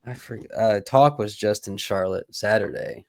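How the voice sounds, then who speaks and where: A man co-hosting a casual music chat, laid-back and hesitant, filling pauses with ums and uhs. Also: lowish voice, creaky, darkish tone, dry recording, clean studio sound. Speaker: podcast co-host.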